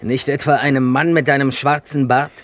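A man's voice: medium pitch, level tone, fairly quick, a bit bright, lightly acted. An actor playing a domineering police night patrolman, curt and barking, in a 1950s German radio drama. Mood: brusque, suspicious.

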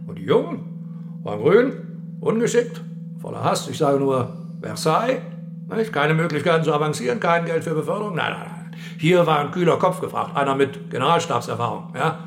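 Actor as a wry, creaky-voiced dead general. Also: male voice, medium pitch, close to an everyday voice. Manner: sardonic, offhand, military clipped asides. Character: dead Weimar-era general, wry, self-assured old-school officer. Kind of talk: German radio play dialogue.

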